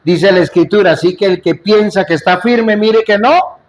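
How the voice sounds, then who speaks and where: High-voiced man preaching emphatically. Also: normal speed. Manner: emphatic, repetitive rhetorical preaching with questions to listeners; jokes and asides in between. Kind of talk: sermon to a congregation. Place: church.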